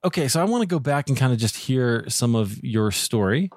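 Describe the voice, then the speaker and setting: A man's voice: medium pitch, animated, a little fast, dry recording. Easygoing male podcast host, dry sound. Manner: easygoing, short affirming replies. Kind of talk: casual interview.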